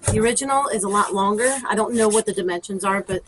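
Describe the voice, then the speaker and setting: A woman's voice: medium pitch, fast talker. Chatty woman vlogger, noisy recording. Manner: chatty, informal, thinking aloud. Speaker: garden vlogger. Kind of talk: casual vlog chat while demonstrating.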